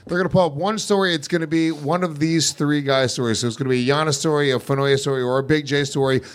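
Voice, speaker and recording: A man's voice: fairly high pitch, steady tone, fast talker. A man hosting a comedy game show, explaining the rules and laughing often.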